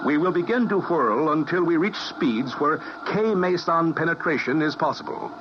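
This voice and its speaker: A man's voice: a little high, expressive, normal speed, muffled recording, natural voice. A man playing a precise, instructive physicist operating a time machine, in a 1950s radio drama on a hissy recording.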